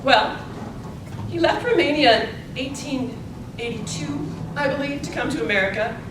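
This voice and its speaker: An earnest, principled old suffragist of eighty-six played by a woman on stage, speaking deliberately in a slightly high voice. The theatrical delivery is heard from a distance in a reverberant room, with clear noise behind it. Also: expressive. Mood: reminiscing, grateful. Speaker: actor in a theatre presentation. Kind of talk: theatrical interview and monologue, live stage performance. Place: indoor presentation space at a public library. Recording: echoey, distant, noisy library event recording.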